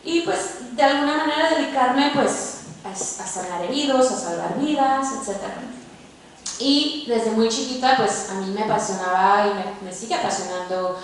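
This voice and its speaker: Echoey, distant young Mexican woman speaking publicly. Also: on the high side. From born in Mexico, grew up in Los Angeles, United States. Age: 20s. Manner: halting, informal, hesitant phrasing with repeated tag questions. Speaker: guest speaker, activist and veteran. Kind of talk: personal testimony in a public talk. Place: large university lecture hall, indoors. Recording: echoey hall, distant mic, faint noise.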